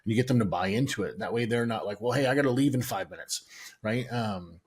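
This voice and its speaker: A middle-aged American man with a low, husky voice explains his sales process as an interview guest. He speaks in a measured, steady way, pausing often with "um". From United States. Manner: measured, steady, many "um" pauses. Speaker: guest, sales and software founder.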